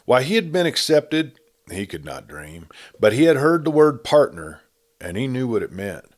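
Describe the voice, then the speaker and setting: A man reading a western tale as an audiobook narrator, in an expressive, measured, dramatic storytelling style. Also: medium pitch.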